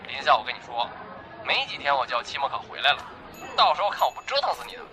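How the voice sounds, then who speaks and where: A man's voice: mid-range voice, big pitch swings, natural voice. A man playing a whiny, playful young student friend in an audio drama, nagging and pouting at his pal. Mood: pouting, teasing. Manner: pouting, nagging, rapid-fire. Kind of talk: Chinese audio drama acting. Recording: music behind the voice.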